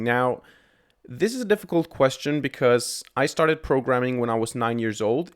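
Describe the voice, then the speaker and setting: A man, a programming YouTuber answering viewer questions to camera in an explaining way, often pausing on "uh". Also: medium pitch, clear, resonant tone. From Austria. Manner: explanatory, frequent "uh" fillers. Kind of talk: Q&A talk to camera.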